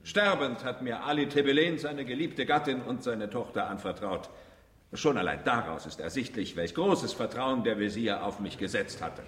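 An actor playing a proud military count under accusation, solemn and defensive, speaking formally and emphatically in a radio drama. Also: male voice, medium pitch, normal speed, fairly bright tone, natural voice. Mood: solemn, assured. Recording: echoey hall with long reverb.

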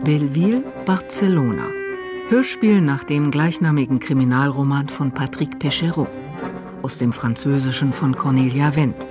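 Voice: medium pitch, normal speed. An announcer reading radio drama credits in a formal, list-like way. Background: music under the voice.

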